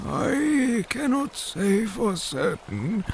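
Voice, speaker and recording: An actor playing an elderly, long-winded fish-man scholar in an audio drama, with a gravelly voice and a halting, formal storytelling manner. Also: high voice, big pitch swings, a little hiss or hum, full character voice. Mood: reminiscing.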